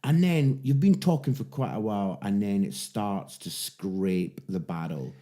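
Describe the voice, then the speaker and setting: A man's voice: fairly low pitch, unhurried, mellow. A middle-aged Scottish man co-hosting a podcast, teasing and joking in casual chat. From Scotland. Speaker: podcast co-host.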